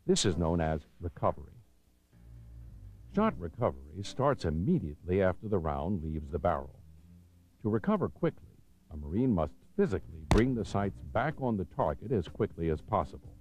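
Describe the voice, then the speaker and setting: Deep-voiced American man narrating instruction. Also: big pitch swings, normal speed, a little mellow, breathy edge. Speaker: training film narrator. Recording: dull, lo-fi 1990s videotape soundtrack.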